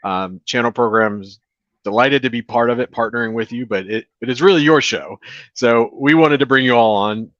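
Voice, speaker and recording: A man hosting a casual video-call interview from a beach house, relaxed and chatty with filler words. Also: mid-range voice, normal speed, no echo. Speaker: show host. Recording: dry, dull lo-fi audio.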